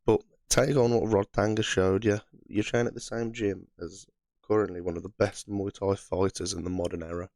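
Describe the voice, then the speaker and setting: A man with a hoarse, croaky voice from being under the weather, chatting casually through fight predictions as host of his channel. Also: lowish voice, steady tone, normal speed, a little mellow, no echo. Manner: chatty, frequent "right" fillers.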